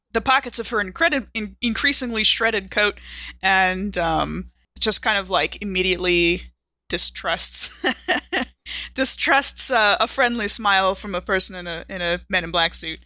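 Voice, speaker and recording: A woman's voice: medium pitch, a little slow, sharp tone, no room sound. A woman playing in a tabletop game podcast, talking in a casual, informal and exclamatory way.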